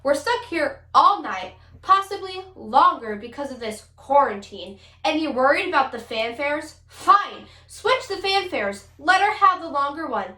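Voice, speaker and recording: A woman's voice: high voice, animated, measured pace, hardly any pauses, sharp tone, full character voice. A woman playing a demanding actress in the role of a queen, theatrical, in an echoey room. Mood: exasperated. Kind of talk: 1940s radio play acting.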